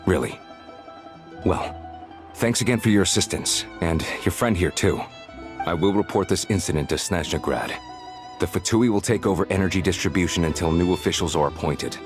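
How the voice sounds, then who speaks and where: An actor playing a dutiful, courteous military officer in a game cutscene, measured and official, over clear music. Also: male voice, sits low, close to an everyday voice. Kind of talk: video game cutscene acting.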